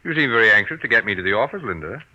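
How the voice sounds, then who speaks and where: A man's voice: fairly low pitch, expressive, very fast, sharp tone, heavily acted. An actor playing a suspicious businessman husband in a 1940s radio drama, outwardly courteous but pointed and insinuating. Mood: suspicious, smooth. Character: suspicious self-made businessman husband, smoothly needling his wife. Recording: muffled, noisy vintage radio recording.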